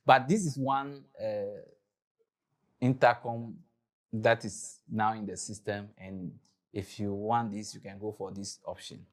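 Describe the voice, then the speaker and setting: A man's voice: on the low side, steady tone, slow pace. A man with a Ghanaian accent presenting an informal explanatory tutorial and product overview.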